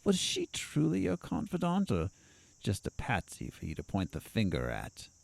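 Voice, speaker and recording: An actor playing a sardonic asylum doctor in an audio drama, probing and needling in a confrontational way. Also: male voice, lowish voice, huge pitch swings, normal speed, gravelly, a little mellow, no echo, heavily acted.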